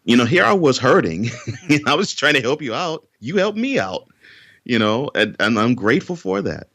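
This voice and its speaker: A man's voice: mid-range voice, big pitch swings, normal speed, a bit bright, no echo, studio quality. A man from Houston, Texas, talking earnestly as a podcast guest and often repeating words as he starts a sentence. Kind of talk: interview conversation.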